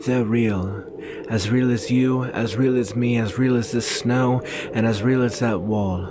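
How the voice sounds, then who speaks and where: A man playing a brooding, protective young northern warrior and devoted lover in an earnest, solemn ASMR roleplay with measured pauses. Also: medium pitch, steady tone, lightly acted. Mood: urgent, pleading. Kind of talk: ASMR roleplay, intimate slow dramatic monologue. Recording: very noisy ambient background.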